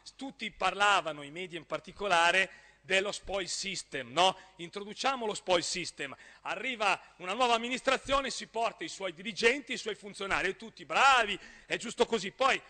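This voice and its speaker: Man, 30s, northern Italian accent, council speech, very bright voice, long hall reverb. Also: sits high, moderate pace. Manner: forceful, rising to emphatic outbursts, gestures while speaking. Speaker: regional councillor. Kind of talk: parliamentary speech. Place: large council chamber. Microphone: desk gooseneck microphone.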